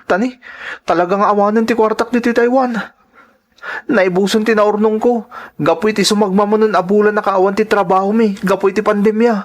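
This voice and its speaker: An actor playing an earnest, respectful adult son and migrant worker in a radio drama, with an Ilocano accent. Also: male voice, sits high, steady tone, a little fast, natural voice. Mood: earnest, reassuring. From Philippines.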